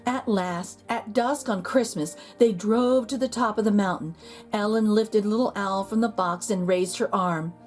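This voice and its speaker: A woman reading a children's picture book aloud in an expressive storytelling manner. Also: soft background music. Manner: slow, expressive storytelling with lively pitch.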